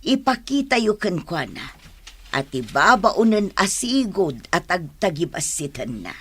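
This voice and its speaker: A woman's voice: fairly low pitch, very animated, unhurried, breathy edge, full character voice. An actress playing a caring, encouraging older village mother in a radio drama. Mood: earnest encouragement. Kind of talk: Ilocano radio drama acting.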